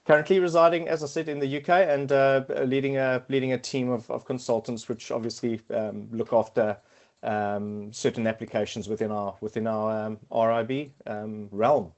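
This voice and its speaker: A middle-aged man speaks with a South African accent in a casual podcast chat. His voice sits in an ordinary middle pitch. He hesitates often, repeating words and filling gaps with ums and uhs as he thinks aloud. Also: steady tone, moderate pace, lo-fi sound. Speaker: podcast guest, quantity surveyor.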